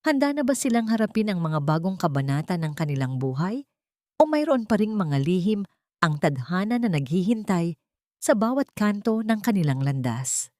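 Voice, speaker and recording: Female narrator for dramatic audio fiction: lower register, solemn and sentimental, dry studio-like sound. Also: very animated, moderate pace, dark tone, dry recording. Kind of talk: scripted dramatic story narration.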